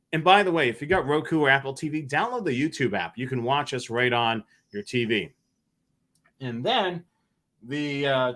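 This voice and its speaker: Male live show host, bright crisp-edged delivery, conversational and slightly halting. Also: medium pitch. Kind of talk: casual live stream talk, answering viewer questions.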